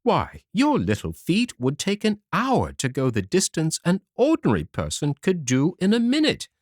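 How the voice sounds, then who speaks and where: Man putting on a high, doubting storybook mother. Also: wildly swooping pitch, moderate pace, a little mellow, no echo, clean studio sound. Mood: mocking. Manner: exclaiming, emphatic. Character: amused, doubting mother of a tiny son. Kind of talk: storybook character voice.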